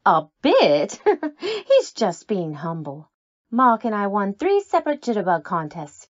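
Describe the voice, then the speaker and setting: A woman's voice: mid-range voice, huge pitch swings, measured pace, dry recording, dull audio. A woman acting a nervous, lovelorn scorned woman with a guilty secret in an old-time radio drama, coy and defensive. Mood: coy, fond.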